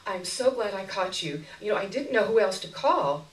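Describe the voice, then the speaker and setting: A woman's voice: mid-range voice, animated, normal speed, lightly acted. A woman reading a story aloud, voicing an anxious, needy woman teacher with a strained, quavering voice and plaintive prattle. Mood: anxious pleading. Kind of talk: live short-story reading. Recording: echoey room, miked from a distance.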